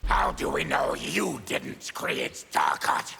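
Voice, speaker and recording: Snarling, gravel-throated mutant warrior. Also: male voice, sits low, huge pitch swings, sharp tone, exaggerated voice. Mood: bitter, threatening. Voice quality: gravelly, growling. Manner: menacing, deliberate. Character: fierce diseased mutant Tarkatan warrior, ex-soldier, vengeful and proud. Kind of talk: video game character dialogue.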